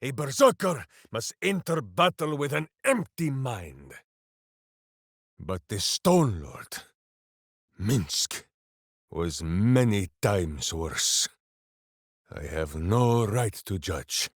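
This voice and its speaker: An actor playing a big-hearted, simple-minded berserker warrior in a video game, grandiose and earnest. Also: male voice, on the low side, lively intonation, slow, pauses often, no room sound, studio quality, exaggerated voice. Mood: subdued, solemn. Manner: grandiose, speaks of himself in third person. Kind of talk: fantasy video game voice acting.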